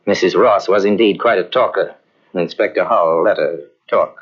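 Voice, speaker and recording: A man narrating a detective mystery in old-time radio style, grave and measured. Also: deep voice, breathy edge. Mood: solemn. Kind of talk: 1950s radio drama narration. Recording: dull old radio transcription.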